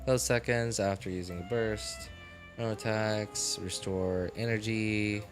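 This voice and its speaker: A man hosting a game livestream, rambling casually and excitably, exclaiming and musing aloud. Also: lowish voice, unhurried. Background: game music.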